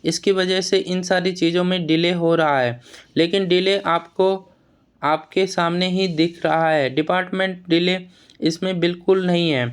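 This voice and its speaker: A man's voice: sits high, steady tone, unhurried, smooth. A man giving an informal, explanatory update to students in a plain-spoken, admonishing way, often repeating words and phrases. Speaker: exam news vlogger advising job aspirants.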